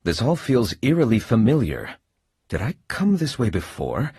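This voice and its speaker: An earnest, oddball video game superhero, speaks with stilted, solemn grandeur. The voice is husky and swings widely in pitch, as if every line were a proclamation. The sound is close and dry. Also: male voice, wildly swooping pitch, moderate pace, darkish tone, no echo, exaggerated voice. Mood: puzzled. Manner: pompous, stilted, solemnly dramatic heroic delivery. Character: earnest, eccentric male laser-eyed superhero, formal and oddly literal. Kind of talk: video game character voice acting.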